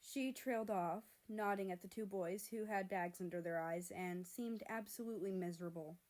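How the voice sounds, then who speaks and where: A woman reading fan fiction aloud as an expressive storyteller. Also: medium pitch, moderate pace.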